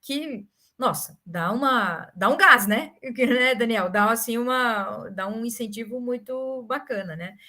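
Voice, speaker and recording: A woman with a Brazilian accent giving an informal academic talk, telling stories in an animated way and often tagging phrases with short questions. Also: on the high side, measured pace. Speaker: academic speaker answering questions.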